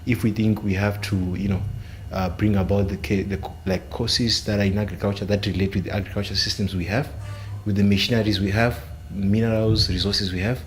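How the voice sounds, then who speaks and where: Low-voiced man on a panel. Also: level tone. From Zimbabwe. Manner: measured, searching for words with many fillers. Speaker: panelist, software engineering student. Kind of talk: panel discussion.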